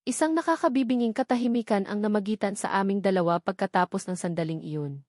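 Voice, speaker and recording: A woman's voice: medium pitch, quick pace, darkish tone, no echo. Woman narrating a romance story, dry close sound. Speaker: audiobook narrator. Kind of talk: scripted first-person romance novel narration.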